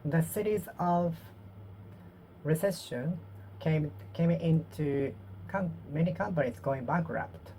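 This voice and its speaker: A Japanese woman with a Japanese accent practicing English aloud to herself, halting and repeating phrases as she corrects them. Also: sits low, warm tone, a little hiss or hum, rough recording. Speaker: vlogger. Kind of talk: solo English speaking practice, talking to herself.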